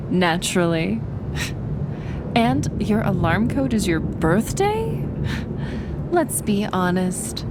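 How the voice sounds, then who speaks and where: A woman playing a wry, confident supervillainess in an intimate ASMR roleplay, teasing the listener with pauses between her phrases. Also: medium pitch, noisy background. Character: wry, confident supervillainess who talks to animals. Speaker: voice actor in an audio roleplay.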